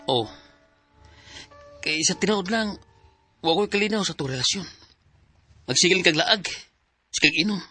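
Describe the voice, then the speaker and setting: Sharp, husky, fed-up young Cebuano boyfriend. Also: male voice, high-pitched, expressive, unhurried, pauses often, faint music behind, full character voice. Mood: fed up, firm. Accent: Cebuano Filipino. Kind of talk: radio drama acting in Cebuano.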